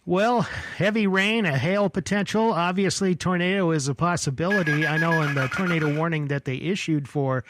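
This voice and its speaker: A man's voice: a little high, moderate pace, few pauses, fairly bright tone, a little hiss or hum. A male radio broadcaster giving unscripted live storm commentary in a folksy, explanatory way. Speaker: radio weather coverage broadcaster. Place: dry radio station studio.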